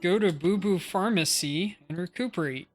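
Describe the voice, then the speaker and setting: A woman acting as a young female traveler from a fantasy game. Her voice is a little low and composed. She talks in an earnest, conversational way, like a steady adventurer. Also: moderate pace, faint music behind, lightly acted. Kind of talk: scripted video game dialogue.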